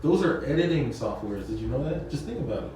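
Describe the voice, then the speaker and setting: A man's voice: medium pitch, lively intonation, fast talker, recorded from a distance. A man chatting casually in an interview, explaining his media teaching work patiently and repeating his points. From San Diego area. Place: indoor party mixer. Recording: echoey event room, off-mic, noisy.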